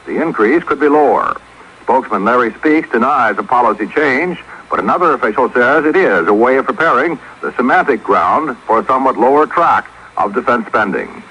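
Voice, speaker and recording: A man's voice: mid-range voice, animated, a little fast, bright, forceful tone, husky, low-quality recording. An American man delivering a scripted radio report as a news correspondent.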